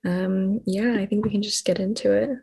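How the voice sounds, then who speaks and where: A woman's voice: mid-range voice, fairly even intonation, normal speed, warm tone, slightly rough. A teenage American girl co-moderating a panel, interviewing in a casual, informal way. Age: teens, high school junior.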